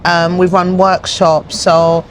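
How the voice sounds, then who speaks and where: A woman's voice: on the low side, flat delivery, slow, a little hiss or hum, low-quality recording. A woman from Nigeria, a guest in an interview, talking earnestly and plainly with frequent fillers. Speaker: interview guest, community project founder. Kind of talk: conversational interview.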